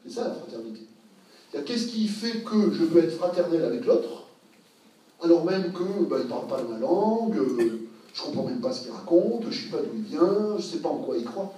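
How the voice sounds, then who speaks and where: A man's voice: high-pitched, warm tone, a little hiss or hum. Hesitant then fervent man in a hall. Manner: thinking aloud with many hesitations, rising to passionate emphasis. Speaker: speaker at a public talk. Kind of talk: public talk, reflective and improvised. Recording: very echoey hall from far off.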